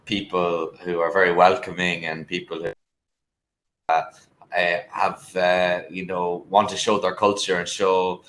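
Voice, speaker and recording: A man's voice: low voice, little pitch movement, measured pace, fairly bright tone, echoey room, low-quality recording. An Irish man tutoring English over a video call, talking casually and hesitantly with lots of fillers and restarts. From Ireland.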